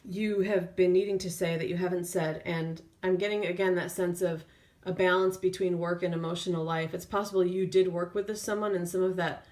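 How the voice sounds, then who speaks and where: Woman giving an unscripted tarot reading, lowish voice. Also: fairly even intonation, fairly quick, few pauses, darkish tone. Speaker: tarot reader. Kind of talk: intuitive tarot reading, spoken off the cuff.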